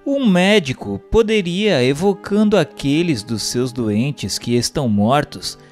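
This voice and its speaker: A man's voice: a little high, expressive, measured pace, darkish tone. A man reading a script as narrator and questioner, in a formal, declamatory way. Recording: long hall reverb. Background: faint music.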